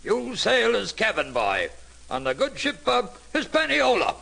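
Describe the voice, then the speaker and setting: An actor playing an excitable, blustering gentleman squire in a storybook drama, exclaiming emphatically in theatrical style. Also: high voice, unhurried, creaky, full character voice, rough recording. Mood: excited. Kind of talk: theatrical storybook record acting.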